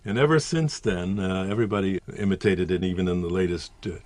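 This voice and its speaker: A man telling an anecdote in an interview, animated and chuckling. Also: sits low, fast, no room sound. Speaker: interviewed commentator. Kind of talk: casual anecdotal commentary.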